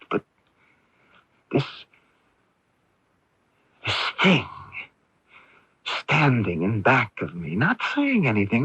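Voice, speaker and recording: A muffled AM radio drama recording of a gentle young son. His voice is husky and gravelly, and he speaks haltingly, repeating words as if troubled and unsure of himself. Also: medium pitch, dry recording, heavily acted. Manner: hesitant, stammering repeats of words. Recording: band-limited 1980s AM radio broadcast.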